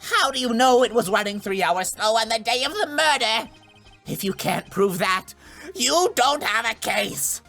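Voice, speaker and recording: A man's voice: very high voice, expressive, a little slow, creaky, pushed and bright, faint music behind, pitched above the performer's own voice, exaggerated voice. A man voicing a shifty, hot-tempered male murder witness in a video game, combative and prone to stammering. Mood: defiant. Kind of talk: video game character dub.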